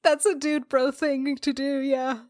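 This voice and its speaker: A woman with a slightly high voice plays a tabletop role-playing game. She talks casually and jokingly, improvising what her character does. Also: level tone, a little slow, a little mellow, dry recording, clean studio sound. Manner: informal, joking.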